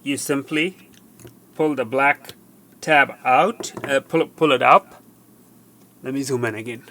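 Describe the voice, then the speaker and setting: A man presenting a hands-on repair tutorial, explaining step by step in a halting way and repeating himself. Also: mid-range voice, a bit bright. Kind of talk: hands-on how-to demonstration. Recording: faint background noise.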